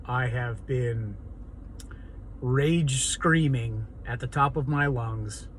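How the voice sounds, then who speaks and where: A man with a hoarse, raw, raspy voice, talking earnestly and rambling in an unscripted personal vlog monologue. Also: mid-range voice, drawn-out words, a little hiss or hum. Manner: rambling and earnest, many ums and restarts. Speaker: vlogger.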